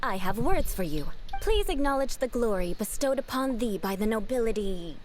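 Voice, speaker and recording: A woman's voice: a little high, very animated, moderate pace, a little mellow, slight background noise. A woman playing a proud young aristocrat in an anime game, speaking in formal, elaborate, haughty phrases. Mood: imperious. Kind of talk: anime game character acting.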